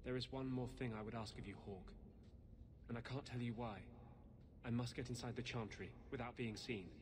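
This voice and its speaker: A man acting as an embittered rebel mage in a fantasy video game, with a mid-pitched voice. He is grave, earnest and zealous, pressing each point, over clearly audible game ambience. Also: fairly even intonation, normal speed, background noise, natural voice. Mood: guarded, pleading.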